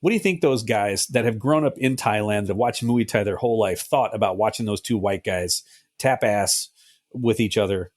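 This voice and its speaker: A man's voice: lowish voice, normal speed. A middle-aged man co-hosting a podcast in casual chat, joking and swearing freely. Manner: jokey, slips into silly mock voices and swears. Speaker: podcast co-host.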